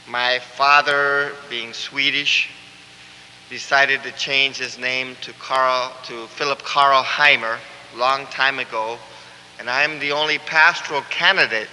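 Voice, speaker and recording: A pastor preaches slowly in a bright, fairly high voice. His earnest delivery builds to fervent emphasis. The sound rings with the long reverb of a church. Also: a little hiss or hum. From Cuba. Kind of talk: sermon. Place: church sanctuary.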